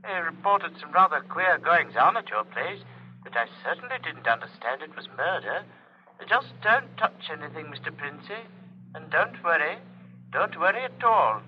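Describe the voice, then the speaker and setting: An actor playing a calm, courteous police desk sergeant in an official manner, in 1940s radio drama style. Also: male voice, a little high, normal speed, lightly acted. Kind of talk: 1940s radio suspense acting. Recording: scratchy, dull 1940s radio recording.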